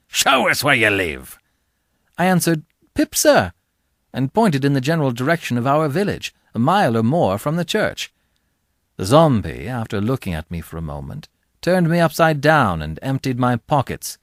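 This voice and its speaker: A man narrating a story as a dramatic audiobook reader, expressive and deliberate in his scripted delivery. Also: medium pitch, no room sound, clean studio sound.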